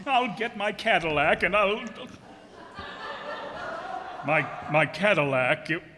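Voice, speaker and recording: A man's voice: sits high, expressive, slow pace, noisy background. A man playing an exasperated, vengeful car owner in old-time radio comedy style, theatrical, wailing and blustering. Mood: simmering anger. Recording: reverberant hall stage recording.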